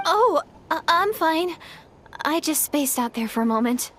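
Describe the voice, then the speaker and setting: A woman's voice: high voice, lively intonation, a little slow, a bit bright, a little hiss or hum. A woman playing an earnest, dreamy young village adventurer in a video game cutscene, speaking reflectively. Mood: flustered. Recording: background music under the voice.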